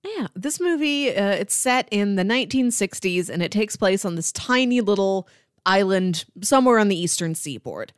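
A woman's voice: medium pitch, animated, moderate pace, no room sound, clean studio sound. A woman co-hosting a podcast, casually retelling a film's story in a conversational way. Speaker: podcast co-host.

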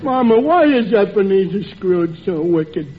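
A man's voice: high voice, big pitch swings, unhurried. A man playing a starving little boy, whiny and comically pitiful, in a 1940s radio comedy. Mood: plaintive. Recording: muffled noisy old radio, loud studio audience.

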